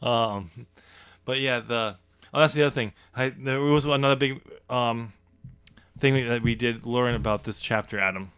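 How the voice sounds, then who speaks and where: Smooth-voiced man, laughing easily. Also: mid-range voice, normal speed, a bit bright, no echo. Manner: laughs often, easygoing, many ums. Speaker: podcast host, author. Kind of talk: casual chat about his novel.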